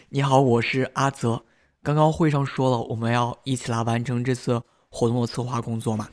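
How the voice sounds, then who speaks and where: A man playing a kind, patient young student in a radio drama, speaking in a gentle, hesitant, reassuring way. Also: medium pitch, little pitch movement, normal speed, natural voice. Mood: friendly, shy. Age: young adult.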